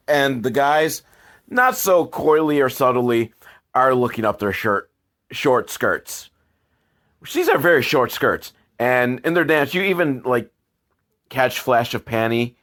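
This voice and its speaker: A man hosting a comedic film review show, talking in a casual, rambling, wry way and swearing freely. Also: medium pitch.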